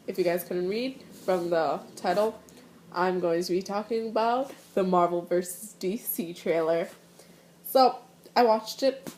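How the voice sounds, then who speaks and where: A woman's voice: fairly high pitch, a little mellow, a little hiss or hum, rough recording. A young woman with a stuffy, sniffly nose chatting casually at home as a vlogger, rambling with fillers and restarts. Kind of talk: casual chat, reaction review. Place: living room at home, indoors.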